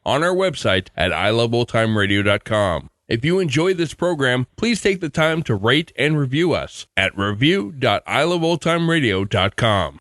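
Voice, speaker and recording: A male podcast host reading his show intro in an upbeat announcer manner. His pitch moves lively, and the recording is dry and close. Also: no room sound.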